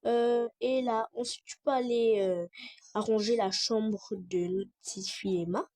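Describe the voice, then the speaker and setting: A woman's voice: fairly high pitch, steady tone, measured pace, mellow. A woman playing a doting but demanding father who gives orders hesitantly in a homemade skit. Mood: ordering.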